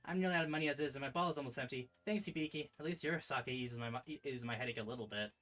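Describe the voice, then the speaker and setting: A stern, weary female festival organiser from a visual novel, voiced by a streamer, grumbles briskly in a mid-pitched voice. The tone is matter-of-fact and exasperated. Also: close to an everyday voice.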